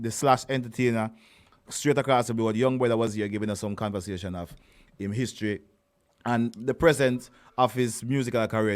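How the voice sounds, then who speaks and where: A man with a Jamaican accent hosting a radio interview show, teasing and laughing with his guests in casual banter. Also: medium pitch. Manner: lively, teasing, laughs often.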